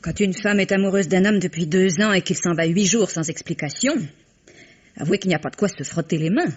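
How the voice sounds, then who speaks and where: An actress playing a brisk, sardonic masseuse with teasing, world-weary irony in an old French radio drama style. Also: female voice, lowish voice, breathy edge. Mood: bitter, resigned. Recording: dull, hissy vintage radio recording.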